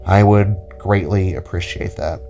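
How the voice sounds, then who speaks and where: Protective older male cousin acted by a man, tired, tender, worried, lowish pitch, audio drama with music bed. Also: steady tone, unhurried, creaky, warm tone. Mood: relieved. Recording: music under the voice.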